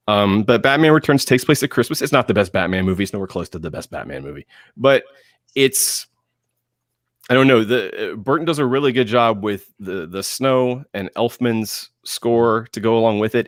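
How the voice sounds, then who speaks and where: A man with a fairly low voice, recorded dry and close. He speaks as a panelist in casual chat, haltingly, circling back over his phrases as he thinks aloud. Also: dry recording. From lived in Georgia, US.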